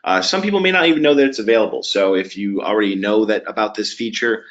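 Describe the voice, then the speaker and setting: A man with a fairly low voice hosts a software webinar. He explains each step conversationally, with hesitant pauses along the way. The audio is a bit dull and lo-fi. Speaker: webinar presenter.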